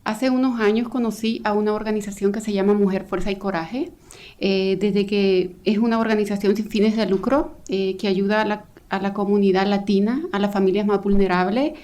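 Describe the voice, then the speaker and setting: Quick-talking woman testifying. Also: mid-range voice, fairly even intonation, dark tone. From El Salvador. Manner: earnest, hesitant, many "eh" fillers and restarts. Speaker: volunteer coordinator giving public testimony. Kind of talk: public testimony. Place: county council chamber.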